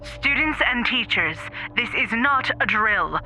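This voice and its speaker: Woman as sharp, tinny loudspeaker school principal. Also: on the high side, animated, unhurried, soft background music, heavily acted. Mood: urgent. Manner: formal, urgent. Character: stern school principal making an emergency loudspeaker announcement. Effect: loudspeaker filter.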